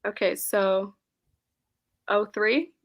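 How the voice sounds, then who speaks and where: A woman's voice: medium pitch, very slow. A young woman hosting a casual live-stream book chat, giggly and scattered, reading viewer comments aloud. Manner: giggly, scattered, laughs often.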